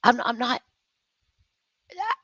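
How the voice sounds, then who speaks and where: A woman's voice: a little high, very animated, slow, sharp tone, no echo, muffled recording. A woman talking on a casual livestream, defensive and puzzled, often restarting her sentences.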